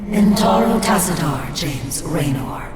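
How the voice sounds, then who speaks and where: A woman playing a stern, proud alien commander in a video game, formal and measured, with an echoing treated voice. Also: lowish voice, sing-song, loud music behind the voice. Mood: stern, imperious. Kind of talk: video game cutscene acting. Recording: echoing voice under loud score.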